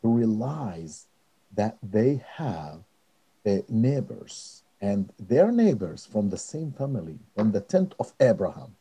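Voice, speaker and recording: A man in middle age or beyond speaks in a very dark, somewhat low voice. His Middle Eastern accent and non-native phrasing come with repeated words and pauses. He answers interview questions earnestly. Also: very animated, husky. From Nazareth, Israel. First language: not English. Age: middle-aged or older. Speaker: interview guest, director of a peace organization.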